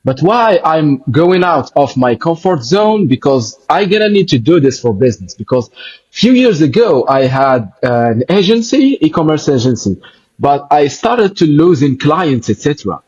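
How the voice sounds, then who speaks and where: Podcast host, male, Arabic accent, hesitant second-language English, breathy tone, muffled line. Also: fairly high pitch, very animated, normal speed, darkish tone. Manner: hesitant, fillers, searching for English words. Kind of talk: casual interview chat. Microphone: video call.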